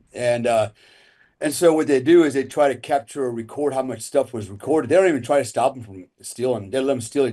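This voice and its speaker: A man with a dark, mellow voice tells a story in casual chat, meandering and chuckling as he goes. He sounds easygoing and unhurried. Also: mid-range voice. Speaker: guest.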